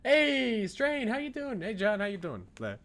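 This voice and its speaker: A man's voice: high voice, huge pitch swings, measured pace, fairly bright tone. A man chatting casually as a live game streamer, greeting viewers in a lifted voice.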